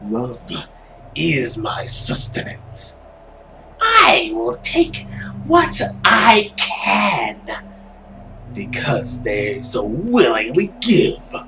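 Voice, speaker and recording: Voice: medium pitch, wildly swooping pitch, vocal fry, noisy background, full character voice. Swooping, melodramatic villainous love creature. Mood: vengeful, brooding. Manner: melodramatic, drawn-out delivery with swoops and whispers. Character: cruel, theatrical vampiric love creature, haughty and vengeful villain. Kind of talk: dramatic visual novel character reading.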